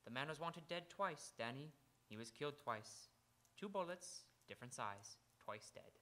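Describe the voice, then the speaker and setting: A man playing a morbid, nervy medical examiner in a radio noir drama, with dramatic, ominous, halting phrasing. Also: mid-range voice, lively intonation, sharp tone. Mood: morbid, intense. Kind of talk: theatrical old-time radio noir acting.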